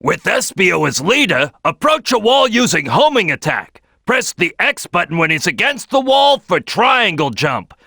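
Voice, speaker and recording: A man's voice: high voice, sing-song, a little slow, vocal fry, hardly any pauses, bright, forceful tone, no echo, clean studio sound, full character voice. A man voicing a big brash cartoon crocodile team leader in a video game, giving tutorial tips with emphatic, punchy delivery. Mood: instructive, upbeat. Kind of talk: animated game tutorial voice lines.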